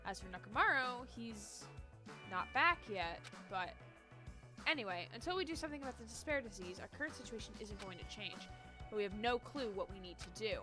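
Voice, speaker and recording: A woman's voice: medium pitch, lively intonation, fairly quick, music in the background, natural voice. A woman reading the lines of a level-headed young male student in a plain, thoughtful way, as game narration. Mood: calm.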